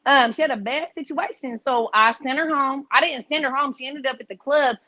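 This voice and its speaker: A woman with a high voice tells a story in loose, profane podcast chat. She is animated and acts out the people she quotes. The audio sounds a little dull and lo-fi. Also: a little fast. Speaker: guest.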